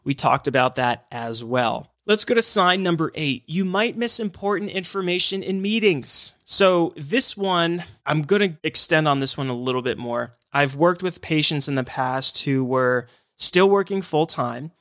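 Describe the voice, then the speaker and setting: A man's voice: on the high side, measured pace, few pauses, fairly bright tone, no room sound, studio quality. A man who works in hearing care, explaining signs of hearing loss in an earnest, encouraging podcast talk.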